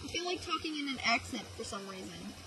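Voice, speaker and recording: A woman's voice: fairly high pitch, sing-song, quick pace, crowd noise. A playful young woman chatting casually with a friend into her laptop webcam. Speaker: vlogger. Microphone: built-in laptop webcam mic. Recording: noisy laptop webcam recording.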